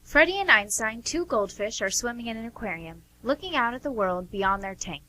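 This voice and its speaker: A woman's voice: a little high, expressive, fairly quick, sharp tone, rough recording. A woman narrating an amateur radio play from a script. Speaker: narrator, guest performer.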